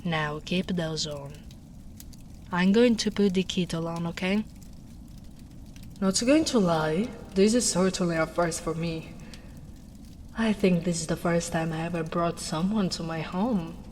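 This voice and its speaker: Woman playing a slow, soft-spoken gentle ogress. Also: lowish voice, close to an everyday voice. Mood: caring, musing. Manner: soothing, unhurried, gently teasing. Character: gentle big strong female ogre, caring, teasing rescuer. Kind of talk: soft-spoken ASMR roleplay. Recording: noisy storm ambience behind voice.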